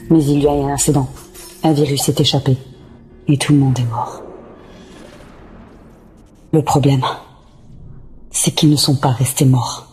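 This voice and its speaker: Man as grave survivor narrator, measured, flat intonation, scripted film prologue, light music bed. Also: medium pitch, natural voice. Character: grave action-film survivor recounting a disaster.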